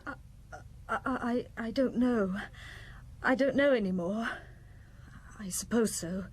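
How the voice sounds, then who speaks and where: An actress playing a fragile, guilt-ridden woman in a radio drama, hesitant, faltering and anguished, her voice breathy and husky. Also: on the high side, slow. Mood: faltering, distressed.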